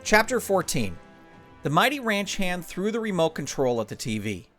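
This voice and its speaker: A man reads scripted story narration. His voice sits fairly high and swings widely in pitch. He delivers it as a storyteller moving through an adventure. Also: big pitch swings, moderate pace, over music. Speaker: author and narrator.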